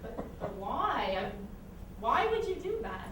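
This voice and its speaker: A woman acting as a flustered, guarded neurotic in a stage comedy. Her high voice moves in lively swings with halting, unhurried delivery, heard from afar in a very echoey, noisy hall. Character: neurotic, guarded, flustered female therapy patient. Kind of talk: comic stage play acting. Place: stage performance at a college, distant microphone. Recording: echoey hall from far off, noticeable noise.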